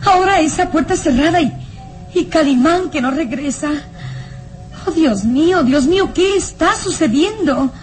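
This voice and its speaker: A sheltered, anxious young noblewoman in an old radio melodrama. Her voice is very high, and she frets to herself in halting phrases. Soft music sits behind her. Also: lively intonation, rough recording. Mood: anguished.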